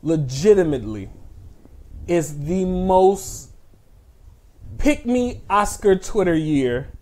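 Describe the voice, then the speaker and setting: A man with a somewhat high voice co-hosts a movie talk show. He speaks casually but emphatically, pushing his opinions and repeating words to drive them home. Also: animated, takes it slowly, darkish tone. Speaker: co-host of a film talk show.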